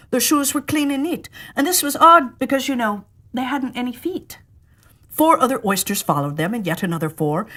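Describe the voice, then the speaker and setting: Animated woman storyteller reciting verse. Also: mid-range voice. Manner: theatrical.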